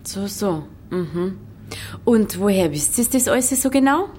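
A woman's voice: mid-range voice, lively intonation, unhurried, mellow, slight background noise, natural voice. An actress playing a defiant, curious young village woman in a radio drama, with a Bavarian accent and a sceptical, wry way of questioning. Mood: sceptical, teasing.

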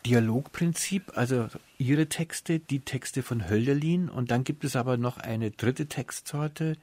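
Male interviewer for a culture programme, medium pitch, measured delivery, very dry close sound. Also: a little mellow, no room sound. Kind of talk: prepared cultural radio interview.

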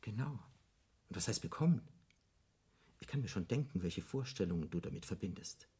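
An actor playing an eloquent devil in a radio drama, arguing in emphatic, elaborate rhetoric as a persuasive tempter. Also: male voice, lowish voice, expressive, fairly quick, warm tone, slightly rough, full character voice. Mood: indignant, lurid.

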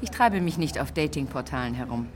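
A woman's voice: a bass voice, animated, brisk, a crowd in the background. An actress playing a cool, witty, forward woman in a naturalistic radio drama. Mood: teasing, curious.

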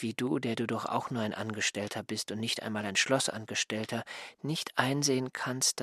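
A man acting an aggrieved, smug former servant in a radio drama, reproachful and pedantic, with a husky, breathy voice. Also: mid-range voice, sharp tone, no room sound, studio quality. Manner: reproachful, self-righteous, pedantic.

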